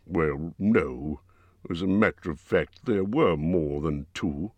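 A man voicing an old wizard, calm, measured and tactful. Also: deep voice, no room sound.

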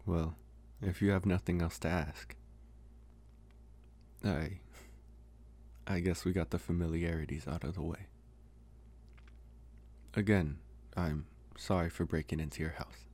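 A man playing a lonely, gentle young college vampire in an intimate ASMR roleplay, speaking softly and haltingly with pauses. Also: low voice, steady tone. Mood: reflective, hesitant. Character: lonely, soft-spoken young male college vampire, politely devoted. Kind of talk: ASMR roleplay, intimate one-sided dialogue.

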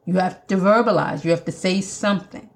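Gravelly Jamaican woman preaching. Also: lowish voice, a little fast. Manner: earnest, emphatic, with restarts. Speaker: podcast host. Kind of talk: preaching podcast monologue.

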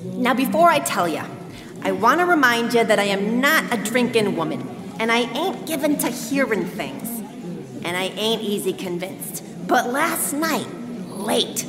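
A woman's voice: a little high, sing-song, a little slow, a crowd in the background. A woman playing a folksy, plain-spoken apple seller rallying fellow beggars in a live radio drama. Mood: rallying, determined. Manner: folksy, emphatic, ungrammatical speechmaking. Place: church hall stage. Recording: echoey hall, music behind.

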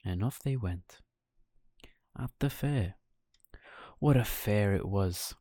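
A man's voice: lowish voice, takes it slowly, dark tone, dry recording, studio quality. A man narrating a children's bedtime story as a storyteller.

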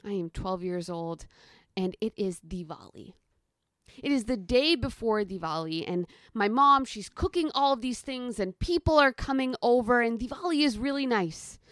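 A woman in her thirties from the United States, a storyteller guest who chats casually and then tells a personal story in the present tense, with lively quoted voices. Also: on the high side, normal speed, dry recording, studio quality.

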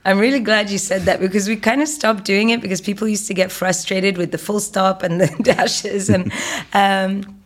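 A woman's voice: mid-range voice, steady tone, normal speed. A woman in her forties from Egypt, a fitness educator who talks as an interview guest, explaining fluently with frequent um and uh. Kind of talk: interview conversation.

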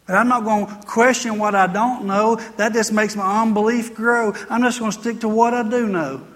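A woman's voice: on the high side, fairly even intonation, moderate pace. An American woman preaching a sermon to a congregation in an emphatic, repetitive, exhorting manner. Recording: reverberant hall sound.

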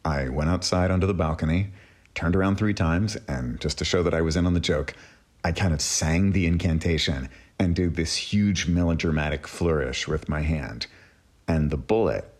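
Super deep husky guy hosting, telling his own story casually, conversational. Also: normal speed. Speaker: host and storyteller.

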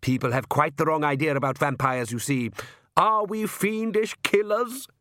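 A man voicing a smug male vampire count, suave and genially measured, in an audiobook. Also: fairly high pitch, pushed and bright, dry recording, studio quality, pitched above the performer's own voice. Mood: genial menace.

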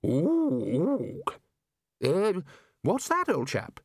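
A bluff, booming elderly wizard leader, all hearty good cheer, as a male narrator puts him on. His voice rides high and leaps about. The sound is close and dry. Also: wildly swooping pitch, extremely slow, a little mellow, husky, dry recording, full character voice.

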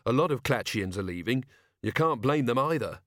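A man's voice: medium pitch, lively intonation, normal speed, fairly bright tone, no room sound, clean studio sound, lightly acted. A man voicing an earnest, polite young male watch officer who speaks plainly and sincerely, in an audiobook.

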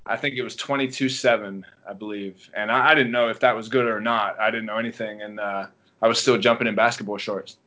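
A man in his thirties from England with an English accent, a track coach relaxed and rambling as he answers interview questions. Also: lowish voice, fairly quick, dull audio. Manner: relaxed storytelling with many ums and restarts. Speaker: guest track and field coach. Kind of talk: casual podcast interview.

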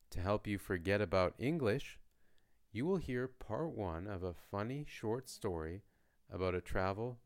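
A man from Canada hosting a beginner language podcast, speaking in a slow, lightly joking teaching voice. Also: lowish voice. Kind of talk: slow, clear lesson narration for learners.

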